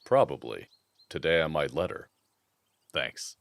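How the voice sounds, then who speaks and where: A man playing a gruff, devoted werewolf guard in an audio drama, speaking in a laconic way. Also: extremely low pitch, expressive, a little slow, heavily acted. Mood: weary, dutiful. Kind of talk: audio roleplay acting.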